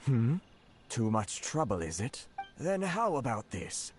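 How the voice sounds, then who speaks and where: An actor playing a smooth-talking, slyly demanding gentleman official in a video game, polite and teasing. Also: medium pitch, big pitch swings, slow pace, a little hiss or hum. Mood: sly, breezy. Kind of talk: video game character acting. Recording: game music behind.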